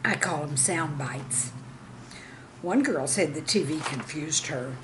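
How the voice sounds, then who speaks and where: A woman in her sixties, a teacher reading humorous classroom anecdotes in an expressive, deliberate storytelling manner. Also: sits low, background noise. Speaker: retired or veteran teacher, storyteller host. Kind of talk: scripted humorous anecdote narration.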